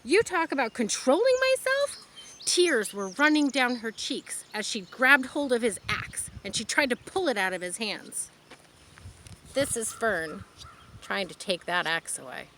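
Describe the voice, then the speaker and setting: A woman's voice: mid-range voice, a little slow, a little hiss or hum. A woman reading a children's book aloud outdoors, adding her own explanatory asides. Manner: expressive, adds explanatory asides. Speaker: storyteller. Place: outdoors, rural.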